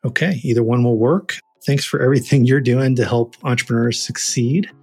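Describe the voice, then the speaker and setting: A male podcast host with a husky, mid-pitched voice, talking in a relaxed, conversational way as he runs quick questions and signs off. The sound is dry and close, with faint music underneath. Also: no echo. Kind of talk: podcast interview and sign-off.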